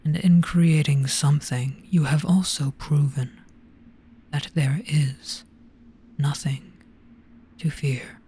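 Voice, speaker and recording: A performer voicing an ancient, gentle, weary monster in a hypnotic roleplay, speaking very slowly in a grave, breathy hush. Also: mid-range voice, fairly even intonation, a little hiss or hum, natural voice. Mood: solemn, gentle. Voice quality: breathy, whispery. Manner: very slow, hushed, grave.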